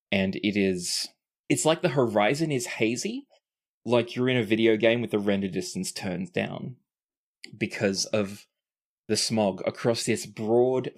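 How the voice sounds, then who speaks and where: A game master tells the story of a tabletop role-playing game. The voice sits at a medium pitch, and the delivery is casual and peppered with swearing.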